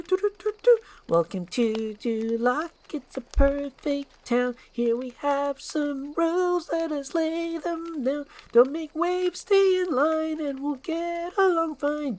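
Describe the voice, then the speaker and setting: Woman as little mechanical wooden dolls, chirpy singing, raised high pitch, slow sing-song jingle, storybook. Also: darkish tone.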